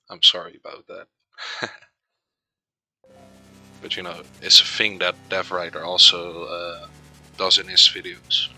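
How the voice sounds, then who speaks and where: A man with a Dutch accent, a native Dutch speaker, giving casual let's play commentary and reading game text aloud, halting with many hesitations. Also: sits low, bright, forceful tone, no echo, poor audio.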